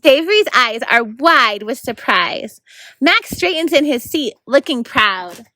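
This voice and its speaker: Female storybook reader, bright and animated, very varied pitch. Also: a little slow, dry recording, low-quality recording. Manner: animated, acts out the narration. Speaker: volunteer reader of a children's novel. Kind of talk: expressive storybook read-aloud.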